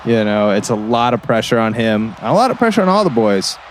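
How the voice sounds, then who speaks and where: A man playing an earnest small-town color commentator on sports radio, rambling in a halting, repetitive, folksy way. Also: mid-range voice, sing-song, close to an everyday voice. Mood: gravely earnest. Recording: faint gym crowd and noise behind.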